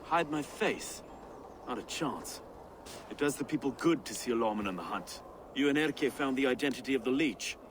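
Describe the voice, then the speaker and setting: Clear ambient noise runs behind an actor as a proud lawman. The male voice is mid-pitched, and the game dialogue comes out firm and declarative. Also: animated, normal speed, natural voice. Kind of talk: dramatic video game acting.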